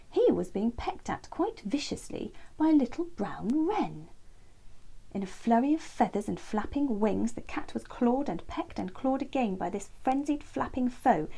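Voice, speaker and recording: A woman narrating a children's bedtime story as storyteller, with dramatic, deliberate phrasing. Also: a little high, creaky, mellow, husky.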